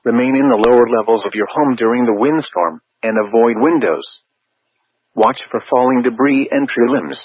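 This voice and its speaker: A man's voice: mid-range voice, slightly rough, no room sound. Tinny synthetic male weather radio announcer. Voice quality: synthetic text-to-speech voice. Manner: formal, measured, stilted phrasing. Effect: weather radio broadcast. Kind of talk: scripted weather warning bulletin.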